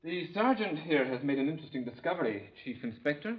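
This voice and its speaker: An actor playing a dry, pleasant police doctor in a 1950s radio drama, wry and unhurried. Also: male voice, on the high side, very animated. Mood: dryly amused. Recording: thin, tinny old radio recording.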